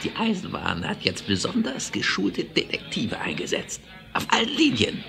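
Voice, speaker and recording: An actor playing a jolly reformed Wild West bandit in a 1970s German audio drama, excitable and exaggerated. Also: male voice, high-pitched, vocal fry, exaggerated voice. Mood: jolly, curious. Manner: excitable, exaggerated, quick to chuckle. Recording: noisy old vinyl radio-play recording.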